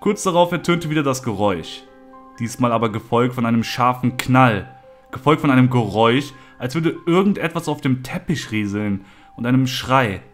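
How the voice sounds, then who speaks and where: A man's voice: medium pitch, expressive, normal speed, soft background music. A man narrating a scripted horror story, acting out bits of dialogue as he goes.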